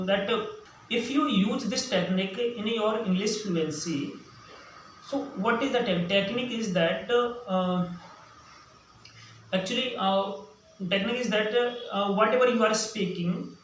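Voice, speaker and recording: A high-voiced man with an Indian accent, a Hindi native speaker, teaches English in a slow, hesitant way, full of "uh" and restarts. He sits away from the mic in a very echoey room. A faint hiss runs under his voice. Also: a bit bright, recorded from a distance. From central India. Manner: hesitant, many fillers and restarts. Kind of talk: spoken English lesson.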